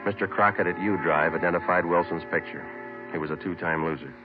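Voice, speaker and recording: An American actor playing a terse, businesslike police detective in an old radio drama, in clipped, matter-of-fact delivery on a muffled recording. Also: male voice, low voice, steady tone, fairly quick, music in the background, lightly acted. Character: businesslike male police detective narrating his case. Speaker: lead actor and narrator.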